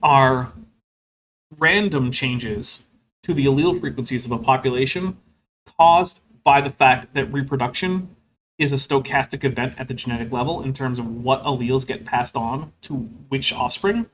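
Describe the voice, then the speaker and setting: A man's voice: mid-range voice, moderate pace, a bit bright, slight background noise, rough recording. Casual rambling man host. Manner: casual, lots of "like" and "um", wry. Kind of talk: casual commentary on a video.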